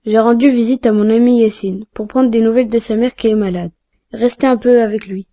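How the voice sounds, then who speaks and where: Woman voicing an earnest boy, soft high voice. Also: fairly even intonation, a little fast, close to an everyday voice. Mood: hurt, indignant. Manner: earnest, courteous. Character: earnest, polite, sensitive pious boy. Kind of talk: didactic cartoon dialogue.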